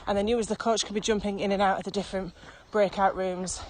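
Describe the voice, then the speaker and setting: A woman's voice: mid-range voice, fairly even intonation, fast talker. A British woman in a casual podcast chat, explaining from experience as a training expert in an informal, practical way, recorded outdoors while out walking. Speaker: virtual training expert being interviewed. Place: outdoors, walking on a country path. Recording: outdoor ambience, faint background noise.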